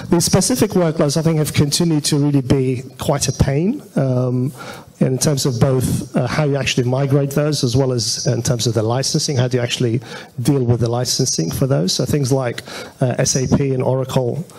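A man's voice: mid-range voice, normal speed, darkish tone, low-quality recording. A man giving an informal technical presentation as a conference speaker, talking in a conversational, hesitant way. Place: auditorium stage at a meetup.

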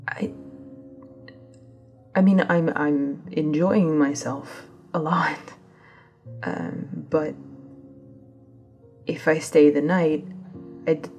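A woman playing a hesitant, gentle young woman on a date in an intimate ASMR audio roleplay, soft-spoken and stammering. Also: deep voice, full character voice.

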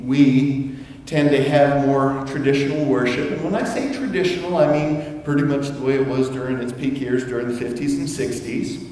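A middle-aged man preaching a sermon to his congregation in a relaxed, conversational way, with asides and restarts. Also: mid-range voice. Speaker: pastor. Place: church sanctuary. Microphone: far camera, room sound. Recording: echoey church hall from far off.

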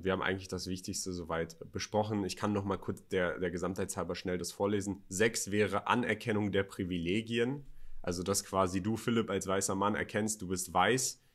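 A man, co-hosting a conversational podcast. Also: lowish voice. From Berlin, Germany. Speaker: podcast co-host. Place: home office studio, indoors. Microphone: studio microphone on boom arm, close.

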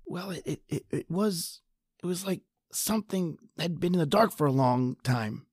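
A husky, lowish voice plays as a woman acts out a scared, injured man hiding underground. He stammers and panics in the manner of a vintage radio thriller. The sound is close and dry. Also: lively intonation, moderate pace, darkish tone, no echo. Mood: tense, hushed. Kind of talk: old-time radio drama acting.

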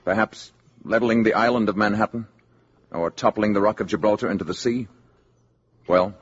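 An actor playing a calm, grave, courteous alien emissary in a measured, formal 1950s radio drama style. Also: male voice, on the low side, breathy edge. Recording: dull 1950s radio recording.

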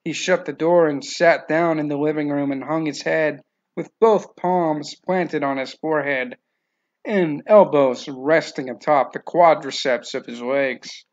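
A man's voice: on the high side, measured pace. A man giving scripted narration of a novel, in a slightly dull, lo-fi recording.

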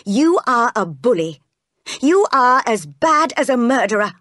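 A woman's voice: on the high side, very animated, a little slow, a bit bright, no echo, studio quality, voice pushed up, heavily acted. Woman acting a defiant, indignant orphan girl. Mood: furious. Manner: indignant, protesting.

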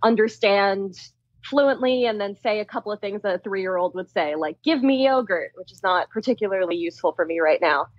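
A woman's voice: mid-range voice, fairly quick, no echo, highs cut off. A woman in her thirties from Philadelphia, a scientist talking about her career in a casual interview, laughing often. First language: Tamil. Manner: laughs often, chatty and animated. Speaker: guest scientist.

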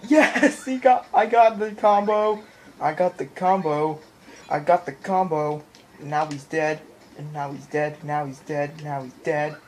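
Slow-paced guy commentating his gameplay. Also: fairly high pitch, slight background noise. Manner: excitable, repeats phrases, mimics game sounds. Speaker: video game player and commentator. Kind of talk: casual let's play commentary. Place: indoor room at home, in front of a TV. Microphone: handheld camera or phone mic. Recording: handheld camera, game music in background.

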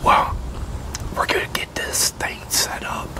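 A man in his sixties speaks in a breathy whisper, slowly and haltingly. He plays a promoter in an ASMR roleplay, leaving gaps as though holding one side of a conversation. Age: 60s. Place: open covered outdoor picnic area with metal tables.

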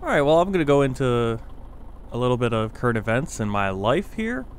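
A man's voice: mid-range voice, very animated, a little fast, slight background noise. An American man casually talking over his own truck-driving game footage. He rambles and corrects himself as he goes. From United States. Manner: chatty, rambling, self-correcting. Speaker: gaming video host.

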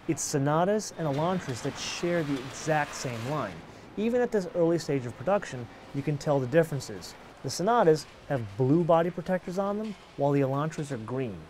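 A middle-aged man hosting a car show, explaining features in a lively, animated way outdoors and behind the wheel. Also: fairly high pitch, a little hiss or hum. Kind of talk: car review presentation.

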